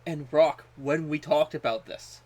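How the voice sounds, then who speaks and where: A man's voice: on the high side, a little slow, a little mellow, rough recording. A man co-hosting a podcast in casual chat, often repeating words as he gets a sentence going. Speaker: podcast co-host.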